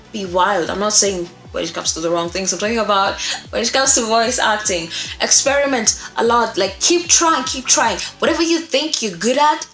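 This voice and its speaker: A woman's voice: fairly high pitch, fairly bright tone. Young woman teaching in an echoey room. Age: young adult. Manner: encouraging, emphatic repetition. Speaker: voice acting instructor. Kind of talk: casual tutorial to camera. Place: small indoor room. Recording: echoey room, faint music behind.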